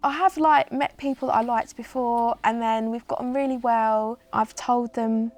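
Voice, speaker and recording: A woman's voice: fairly high pitch, fairly even intonation, normal speed, a little mellow, faint music behind. A young woman speaking casually in a documentary interview, with many "like" fillers.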